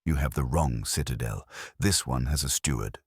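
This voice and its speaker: An imperious older detective as a male narrator plays him, with an extremely low voice and a dry, close sound. He hands down formal, measured judgments in a stern, unhurried way, as in an audiobook mystery. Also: slightly rough, no room sound, full character voice. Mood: stern, unruffled. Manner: formal, measured, clipped pronouncements.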